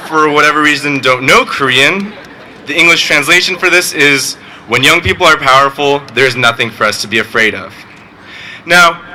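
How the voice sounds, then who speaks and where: A teenage boy, valedictorian, giving a graduation speech with joking, deadpan asides. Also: fairly high pitch, a little hiss or hum, poor audio. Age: teens. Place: outdoor graduation ceremony.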